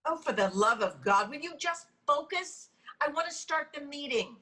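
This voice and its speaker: A woman's voice: sits high, expressive, normal speed, sharp tone. A woman acting an earnest, easily exasperated leader of a women's goddess meeting in a comedy script read over a video call. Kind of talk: live comedy screenplay table read. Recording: online call with faint noise.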